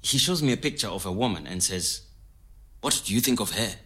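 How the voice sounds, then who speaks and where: A man's voice: lowish voice, lively intonation, fairly quick, a bit bright. An actor playing a sentimental, devoted immigrant man, recalling his life in a conversational way. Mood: amused, fond. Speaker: actor in a scripted audio story. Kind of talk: naturalistic conversational storytelling.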